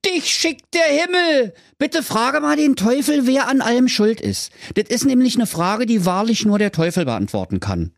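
Quarrelsome fairy-tale villagers, shrill and bickering. The voice sits very high and yelps, recorded dry. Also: male voice, big pitch swings, normal speed, vocal fry, hardly any pauses, no echo, full character voice. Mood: squabbling. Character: quarrelsome, squabbling village folk.

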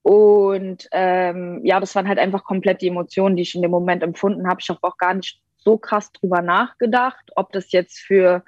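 A young woman from Berlin takes part in an interview over a video call, her voice lowish and a little muffled. She answers thoughtfully and often pauses on small fillers as she searches for words. The audio is dry and dull, like lo-fi call sound. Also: fairly even intonation, a little mellow, no room sound. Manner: thoughtful, many ähm fillers. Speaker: rapper, interview guest. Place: joining remotely.

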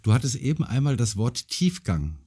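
A man's voice: mid-range voice, sing-song, normal speed, warm tone, breathy edge. A man hosting a podcast interview who encourages his guest and agrees often. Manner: encouraging, with frequent backchannel agreement. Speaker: podcast host. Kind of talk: casual interview chat.

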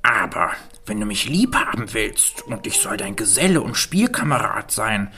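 A woman voicing a sly male frog in a fairy tale reading, speaking in a deep croaky whisper and croaking between phrases. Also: sing-song, moderate pace, bright, forceful tone, heavily acted. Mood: bargaining. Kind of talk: storybook reading.

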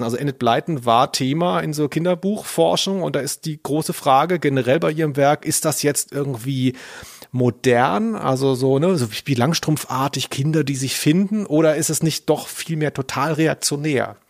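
A man's voice: on the high side, moderate pace, no echo, studio quality. A man hosting a podcast, talking casually in a hesitant, self-deprecating way and at times reading aloud. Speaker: podcast host.

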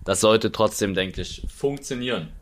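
A young man hosting a podcast, chatty and relaxed, sharing one microphone with his guest. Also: fairly low pitch, normal speed. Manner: relaxed, chatty, jumps in often. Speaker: podcast host. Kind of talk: casual podcast chat. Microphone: sharing one mic with the guest.

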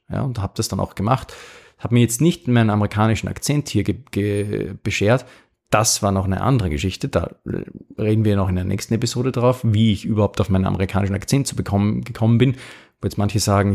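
Podcast host, male, low gravelly voice, loose coaching delivery full of fillers. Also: darkish tone. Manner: informal, many fillers and restarts, coaching tone. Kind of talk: casual podcast monologue with sponsor read.

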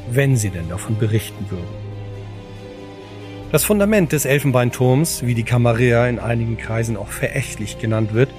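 Male narrator, medium pitch, scripted audio drama storytelling, measured, solemn, background music. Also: darkish tone.

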